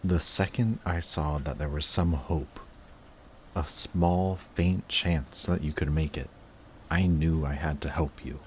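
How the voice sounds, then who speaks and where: A man playing an emotional villain confessing to his longtime enemy in a dramatic audio roleplay, intense, halting and defensive. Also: extremely low pitch, fairly even intonation, slight background noise. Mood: pained, confessing.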